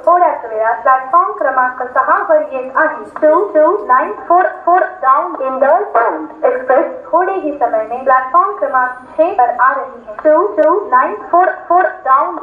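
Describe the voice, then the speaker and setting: High-pitched Indian woman announcing over echoey station speakers. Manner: formal, measured, word-by-word delivery. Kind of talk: formulaic station announcement, repeated in several languages. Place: railway station, over loudspeakers. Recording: echoey, tinny public-address loudspeaker.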